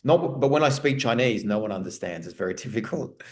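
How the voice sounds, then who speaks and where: A man's voice: fairly low pitch, fairly quick, a little hiss or hum. Australian guy on a webinar call, loose rambly answers, echoey room sound. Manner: relaxed, rambling, with frequent restarts. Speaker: guest speaker. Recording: roomy echo.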